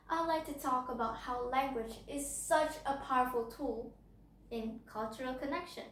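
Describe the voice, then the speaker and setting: Teen girl w/ giving a speech, high voice, echoey room. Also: distant mic. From Indonesia. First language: Hokkien. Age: teenager. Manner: lively, often asks the listener questions. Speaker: student speaker in a speech contest. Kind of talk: prepared persuasive speech to camera. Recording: roomy echo.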